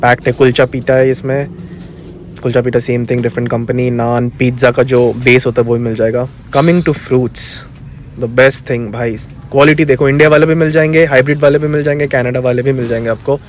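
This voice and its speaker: A man's voice: mid-range voice, level tone, moderate pace, a little hiss or hum. A man in his twenties with an Indian accent, chatting casually to viewers as he walks through a grocery store, mixing Hindi and English. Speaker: vlogger. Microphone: handheld camera, first-person view.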